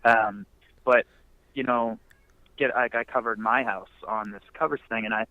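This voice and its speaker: A man's voice: lowish voice, fairly even intonation, normal speed, no room sound. A young man musician talking casually in a phone interview, laid-back and rambling with many fillers. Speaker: musician guest. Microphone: phone line. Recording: muffled phone line.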